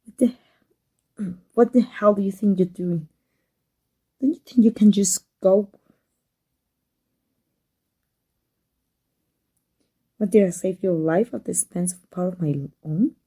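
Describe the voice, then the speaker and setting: Woman acting as proud villainess, secretly devoted, Mexican accent, soft dark tone, husky breathiness, emotional, intimate audio roleplay. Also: mid-range voice, normal speed, close to an everyday voice. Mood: angry, guarded. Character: proud, flustered, secretly devoted villainess with life-transfer power.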